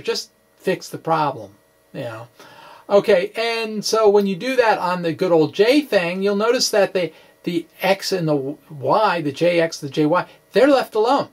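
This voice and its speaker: A middle-aged man giving an informal, halting explanation to camera in an offhand, quirky manner. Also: on the high side, creaky. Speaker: physics blogger presenting puzzle answers. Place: small indoor room with bookshelves. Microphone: microphone on a stand in front of him.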